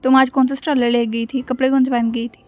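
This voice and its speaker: A woman chatting casually with family, telling things in an animated way and asking questions. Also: high voice, quick pace, no room sound. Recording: dull lo-fi home recording.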